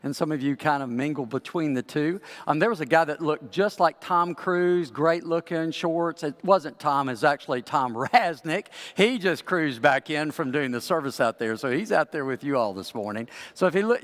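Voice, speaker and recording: Older man, hoarse raspy voice, pastor, conversational sermon, headset mic, long church reverb. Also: a little high. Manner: conversational, gently guiding. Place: large church sanctuary.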